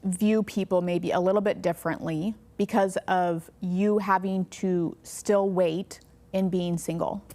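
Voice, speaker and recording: A woman hosting a faith podcast, asking questions and affirming her guest warmly with quick agreements. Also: lowish voice, fairly even intonation. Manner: affirming, encouraging, many quick yeahs. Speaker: podcast host.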